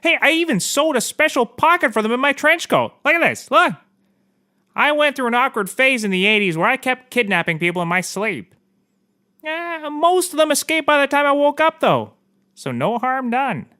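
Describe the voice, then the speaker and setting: A man's voice: very high-pitched, pushed and bright, heavily acted. An actor playing a shameless, boozy private investigator in a scripted comedy, rambling and oblivious as he gives courtroom testimony. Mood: breezy, boastful. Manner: rambling, casually confessing, oblivious.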